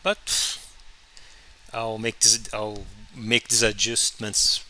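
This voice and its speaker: A man whose first language is Portuguese, chatting casually on a live stream while he draws, halting and restarting often. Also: lowish voice, level tone, bright, forceful tone. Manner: halting, many restarts and fillers. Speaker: comic artist streaming his drawing.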